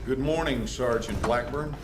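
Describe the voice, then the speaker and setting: An actor playing a government agent questioning a detainee in a game cutscene, measured, dry and pointed. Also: male voice, mid-range voice, heavy background noise, lightly acted. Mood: dry, controlled. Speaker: interrogator. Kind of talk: video game cutscene acting.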